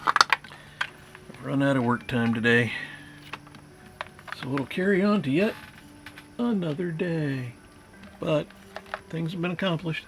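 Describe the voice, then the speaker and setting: A man's voice: fairly high pitch, slow, pauses often, music in the background. A man chatting casually and thinking aloud as he tinkers, muttering to himself and to the thing he is repairing. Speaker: hobbyist repairer of vintage tape recorders.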